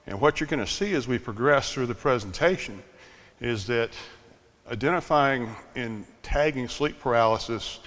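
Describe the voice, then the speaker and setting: An older man giving a conference talk in a slightly monotone, relaxed, self-deprecating way, with plenty of fillers. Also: medium pitch, normal speed. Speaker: conference speaker. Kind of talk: conference presentation. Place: conference hall. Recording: long hall reverb.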